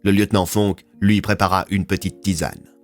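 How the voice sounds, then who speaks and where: Male narrator for serial audio fiction, dramatic scripted read, low pitch, soft music bed. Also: steady tone, moderate pace.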